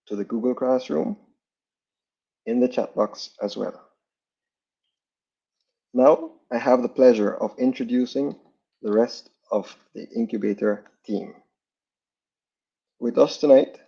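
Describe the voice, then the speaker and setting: A man's voice: medium pitch, vocal fry, dark tone, slightly rough. A man hosting an online training over a video call, formally making announcements and introducing the team. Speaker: training host. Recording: dull lo-fi video call audio.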